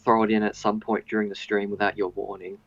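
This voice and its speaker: A quiet, soft-spoken man with an Australian accent, joining a game stream over a voice call without a proper mic. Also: lowish voice, flat delivery, quick pace, no echo. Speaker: guest on call. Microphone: computer call audio without a proper mic. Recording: dull lo-fi call audio.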